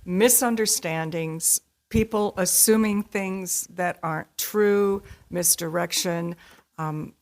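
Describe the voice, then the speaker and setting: Woman, creaky voice, lowish pitch, conversational, interview guest. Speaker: community theatre actor, interview guest. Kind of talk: conversational explaining.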